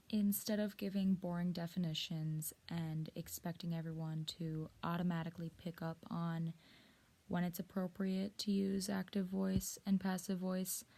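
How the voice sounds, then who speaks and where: A woman presenting a scripted lesson in a measured, explanatory way, speaking directly to the viewer. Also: lowish voice, creaky, dark tone. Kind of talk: scripted instructional narration.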